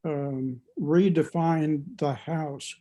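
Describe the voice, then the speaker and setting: A man with a very dark, mellow tone and a slightly high pitch gives his view in a book discussion. He speaks slowly and haltingly, searching for the right words. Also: steady tone, faint music behind. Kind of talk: reflective opinion in group discussion.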